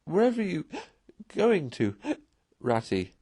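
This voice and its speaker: A man's voice: a little high, huge pitch swings, slow pace, mellow, husky, dry recording, clean studio sound, voice pushed up, exaggerated voice. A man voicing a timid, homesick storybook mole who pleads in a broken, sobbing way. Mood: alarmed, pleading.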